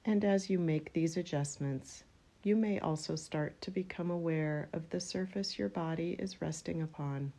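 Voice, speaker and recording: A woman leading a guided yoga nidra relaxation, speaking in gentle, spaced-out phrases as she gives unhurried instructions. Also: low voice.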